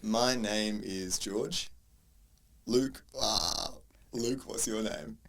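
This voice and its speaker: A man in his twenties with an Australian accent, co-hosting a casual podcast, friendly and measured as he asks and answers questions. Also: lowish voice, gravelly, fairly bright tone. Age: 20s. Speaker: podcast co-host, university tutor. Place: university room.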